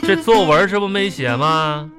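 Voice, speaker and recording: A man's voice: on the high side, normal speed, natural voice. A man with a northern Chinese accent playing an exasperated, blustering father who sputters and repeats himself in a comedic radio drama. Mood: scolding. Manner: sputtering, repeats words when flustered. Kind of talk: live comedic radio drama, earthy and colloquial. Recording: music playing behind.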